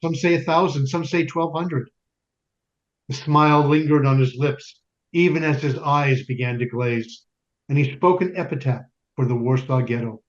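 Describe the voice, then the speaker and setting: A man's voice: medium pitch, moderate pace, dull audio, natural voice. A man playing a grim resistance fighter, narrating a battle in a solemn, declamatory stage style.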